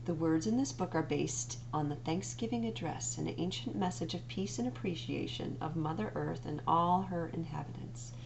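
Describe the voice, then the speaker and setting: Low-pitched woman reading a picture book aloud. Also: gravelly, noisy background. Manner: expressive, deliberate storytelling for young children. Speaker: children's story time reader.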